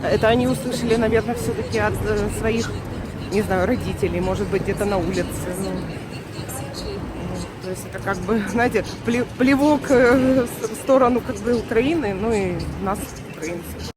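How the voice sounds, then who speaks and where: A woman at an ordinary pitch answers a street interview hesitantly, searching for words. Loud traffic noise fills the outdoor recording. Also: moderate pace, a loud crowd. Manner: hesitant, many fillers.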